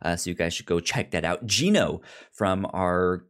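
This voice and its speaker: A man with a fairly low voice talks casually as a podcast host reviewing entertainment. His speech stammers, often catching on a syllable or word and repeating it before moving on. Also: animated, moderate pace, fairly bright tone.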